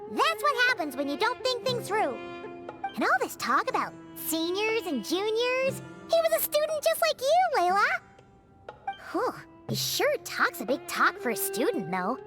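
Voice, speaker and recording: A woman's voice: very high-pitched, huge pitch swings, measured pace, heavily acted. A woman voicing a tiny, childlike, chirpy and bossy fairy companion in an English video game, with an American accent. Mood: cheeky, opinionated. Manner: speaks of herself in the third person, exclaims brightly. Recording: music behind the voice.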